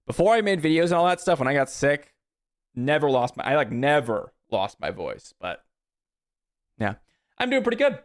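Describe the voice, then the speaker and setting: Male streamer, thirties, relaxed and talkative, reacts to chat while planning moves aloud. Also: on the high side, moderate pace, pauses often. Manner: chatty, answers chat, thinks aloud about plays. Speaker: streamer playing a card game. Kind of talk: casual live-stream chat while playing.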